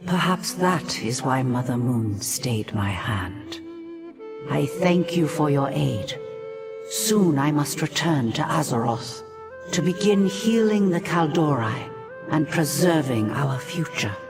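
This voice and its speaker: An actress playing a stern, solemn elven priestess leader in a game cinematic, grave and formal. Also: female voice, low voice, lively intonation, takes it slowly, over music, exaggerated voice. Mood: grave, resolute.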